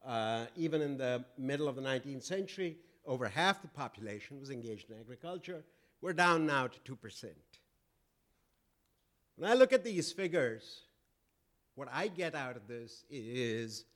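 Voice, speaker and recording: A man delivers a public talk on economics. His voice is loud and his delivery halting, broken by frequent fillers and restarts. His pitch sits in an ordinary middle range. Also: animated. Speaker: economics lecturer. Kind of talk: public lecture.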